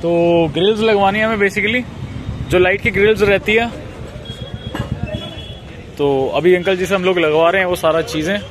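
A man's voice: high voice, fairly even intonation, moderate pace, long pauses. A young man, vlogging casually into a phone at arm's length, chatting with lots of fillers. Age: young adult. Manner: chatty, fillers like yaar and guys. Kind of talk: casual vlog chat. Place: busy outdoor market street. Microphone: phone held at arm's length. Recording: loud street crowd behind. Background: crowd and traffic noise.

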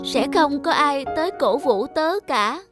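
A woman voicing a kind-hearted young schoolboy in a cartoon, speaking gently and earnestly. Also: sits high, expressive, a little slow, over loud music. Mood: gentle, wistful. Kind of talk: animated cartoon dub.

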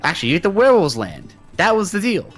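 A man's voice: high-pitched, wildly swooping pitch, fast, a bit bright, faint music behind, heavily acted. A man playing an evasive, sarcastic supernatural deal-maker in an improvised game roleplay, often hesitant. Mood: bargaining.

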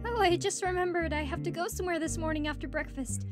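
Woman as high-voiced, earnest young unicorn. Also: quick pace, music in the background. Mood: hesitant. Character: earnest, anxious young female unicorn student. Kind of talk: animated fan audio drama.

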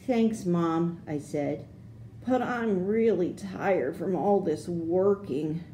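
A woman reading a children's storybook aloud, with expressive, deliberate phrasing for young listeners. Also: fairly low pitch, a little hiss or hum.

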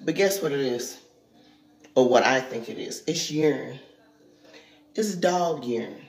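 A woman's voice: rumbling low, animated, a little slow, a little mellow. A middle-aged woman, chatting casually and plainly to viewers as a vlogger. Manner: plainspoken, conversational, addressing viewers directly. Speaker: vlogger and parent. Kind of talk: casual vlog chat. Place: indoors in a house, kitchen and hallway. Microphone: phone at arm's length. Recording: roomy, echoey home sound.

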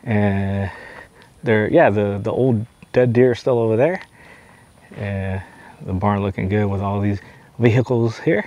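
A man's voice: lowish voice, measured pace, long pauses. A man from the San Francisco Bay Area narrating a casual driving vlog with his friends, laid-back and laughing often, recorded in a convertible on the road. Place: in a convertible car on the road, outdoors. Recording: loud road and engine noise.

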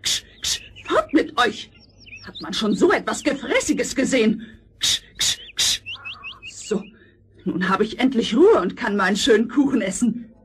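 A woman's voice: on the high side, sing-song, measured pace, a little hiss or hum. An actress playing a spiteful, envious, greedy girl in a fairy-tale radio play, scornful and whiny. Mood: irritated, shooing.

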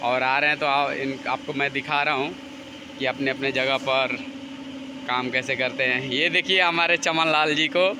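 A man's voice: mid-range voice, fairly even intonation, moderate pace, background noise. An Indian man giving casual, repetitive walk-through commentary as a vlogger, recorded on a handheld phone in a workshop. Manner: informal, repetitive narration. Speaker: vlogger showing around a factory. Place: large workshop and its yard.

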